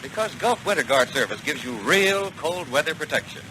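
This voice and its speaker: A man's voice: on the high side, big pitch swings, brisk, pushed and bright. A man working as a commercial announcer, delivering a scripted 1940s radio advertisement. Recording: noisy 1940 radio broadcast.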